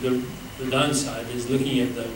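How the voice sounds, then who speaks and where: An older man speaking on a conference panel, steady and flat in tone with little rise or fall. He talks in a measured way, pausing on fillers. The sound is very echoey and distant, with background noise from the hall. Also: medium pitch. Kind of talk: panel discussion answer. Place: wood-panelled hall, large indoor room. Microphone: handheld mic, far camera. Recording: echoey hall from far off, noisy.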